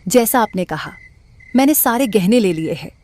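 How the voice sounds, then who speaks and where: A woman's voice: a little high, expressive, moderate pace, mellow, lightly acted. A woman playing a gullible, trusting young village wife in a cartoon moral story.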